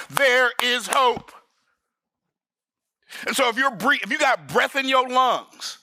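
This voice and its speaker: A man's voice: very high voice, pushed and bright, no room sound. A man in his sixties teaching the Bible in an emphatic, folksy preaching manner. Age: 60s. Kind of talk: sermon-style Bible study.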